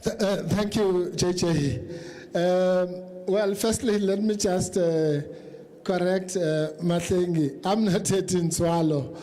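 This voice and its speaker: High-pitched South African man, deliberate debater. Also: steady tone, dark tone. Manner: halting, deliberate, explanatory. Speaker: advocate, political panellist. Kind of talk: unscripted political debate. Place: public town hall event.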